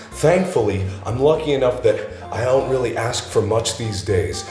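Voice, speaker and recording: A man in his twenties hosting a joking vlog, hoarse and gravelly from being sick, talking loosely with loud bursts. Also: fairly low pitch. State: hoarse from illness. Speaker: YouTube host. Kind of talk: casual comedic vlog. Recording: echoey room.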